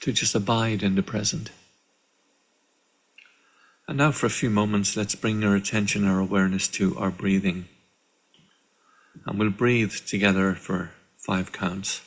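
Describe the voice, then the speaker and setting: Guy with a low raspy creaky voice doing a guided meditation, calm, lots of pauses. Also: rough recording. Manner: calm, measured, with deliberate pauses. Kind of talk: guided meditation, slow and instructional. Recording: live online session.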